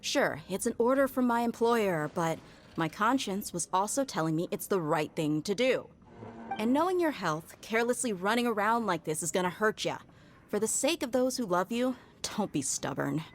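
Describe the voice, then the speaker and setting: A woman's voice: medium pitch, lively intonation, moderate pace, slight background noise, natural voice. An actress playing a tough, principled woman mercenary bodyguard in a game, blunt and casual in manner. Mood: earnest. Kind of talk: game voice acting.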